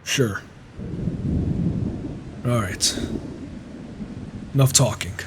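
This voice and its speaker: A man's voice: mid-range voice, drawn-out words. A man roleplaying a cocky, sardonic wandering warrior in a video game, talking casually in character. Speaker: roleplaying gamer. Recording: faint noise, flawed microphone.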